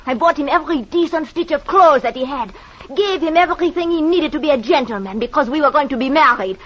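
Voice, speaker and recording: A woman's voice: high voice, lively intonation, fast talker, a little hiss or hum. An actress playing a haughty, fiery French woman in vintage radio drama, with a French accent and imperious, formal phrasing. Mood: bitter. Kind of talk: 1940s-50s radio drama acting. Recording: dull vintage radio recording.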